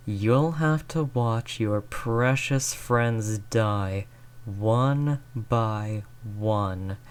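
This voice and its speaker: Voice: mid-range voice, darkish tone. A performer voicing a sadistic, aristocratic vampire seducer with a taunting, theatrical manner in a roleplay audio drama. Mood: cold threat. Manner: taunting, theatrical, drawn-out purring menace. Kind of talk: scripted roleplay audio monologue.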